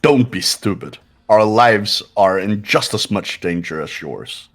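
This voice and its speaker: A man's voice: on the low side, lively intonation, unhurried. A man voicing a cold, haughty, condescending young man who gives orders in a fan-voiced visual novel game. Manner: condescending, clipped.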